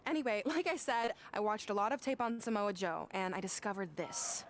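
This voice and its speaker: A woman's voice: mid-range voice, brisk, a little hiss or hum, close to an everyday voice. A woman voicing a bossy, sarcastic young wrestler turned coach in a video game cutscene, curt and condescending. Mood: exasperated, smug.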